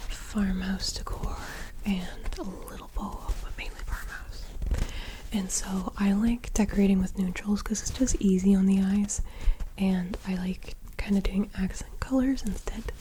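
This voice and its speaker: A woman rambling in a half-whispered, soft-spoken ASMR style, breathy and unhurried, talking close to a sensitive mic. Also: medium pitch, a little hiss or hum. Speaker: ASMR creator.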